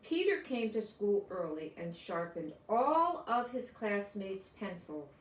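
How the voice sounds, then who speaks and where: An older woman with an American accent reading a children's story aloud, deliberately and explaining things as if to young listeners. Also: mid-range voice, big pitch swings. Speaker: storybook reader. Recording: roomy echo, distant from microphone.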